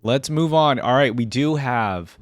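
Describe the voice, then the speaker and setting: A man's voice: medium pitch, measured pace. A man hosting a gaming reaction video, reading aloud and commenting in a casual, informal way. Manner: informal, appreciative.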